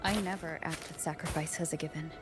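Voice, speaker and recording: A resolute young heroine, voiced by an actress speaks low and evenly, with earnest restraint. Loud music plays under the game dialogue. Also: female voice, steady tone, quick pace, lightly acted. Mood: solemn. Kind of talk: dramatic video game acting.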